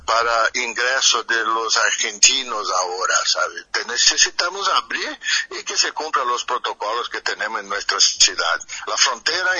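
A Brazilian man speaks as an official guest in a radio interview, with a Portuguese accent. His voice is very sharp and rough-edged, with no room sound. He talks haltingly, searching for words in a language that is not his first. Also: mid-range voice, no echo, dull audio. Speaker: municipal tourism secretary, interview guest. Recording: remote call heard over radio.

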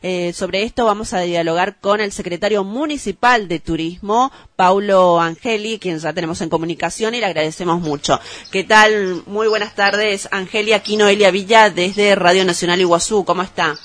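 An Argentine woman hosts a live news interview on the radio. Her voice sits at a medium pitch, in a very dry sound. She speaks as an interviewer putting questions to a guest. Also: moderate pace, few pauses, fairly bright tone, dry recording.

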